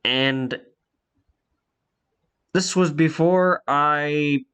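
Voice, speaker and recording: Congested young man, slow rambling story. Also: a little high, fairly bright tone, clear, resonant tone. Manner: rambling, halting, many fillers and restarts. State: congested from a cold. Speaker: YouTuber telling a story. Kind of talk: casual storytime monologue.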